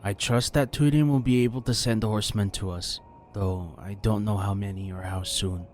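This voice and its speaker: A man voicing a grave, measured male ninja master bringing ill news. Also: lowish voice, fairly even intonation, a little mellow, faint music behind, voice pushed up, close to an everyday voice.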